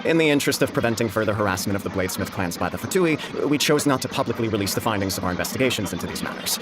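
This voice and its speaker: A man playing a courteous young nobleman in a video game, speaking in a formal, diplomatic way over loud noise. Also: lowish voice, lively intonation, natural voice. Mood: courteous, explanatory. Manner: formal, diplomatic, measured wording. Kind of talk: video game character acting.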